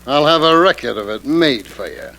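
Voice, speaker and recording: A man's voice: a little high, lively intonation, normal speed, pushed and bright. An actor playing a brusque, cynical backstage theatre man in a 1940s radio drama, curt and sardonic, on a noisy old recording. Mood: sardonic, impatient.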